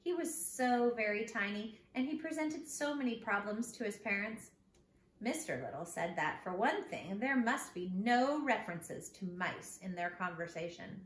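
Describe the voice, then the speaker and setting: A woman's voice: mid-range voice, lively intonation, moderate pace. A woman reading a children's storybook aloud expressively, speaking to young listeners as a teacher would. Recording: echoey room with background noise.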